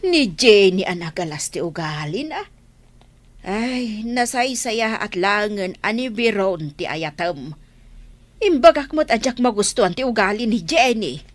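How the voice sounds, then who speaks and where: A woman's voice: medium pitch, sing-song, a little slow. A woman acting an insistent, disapproving mother in a radio drama, with a scolding, emphatic manner. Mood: indignant. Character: insistent, disapproving mother pressing her son about love.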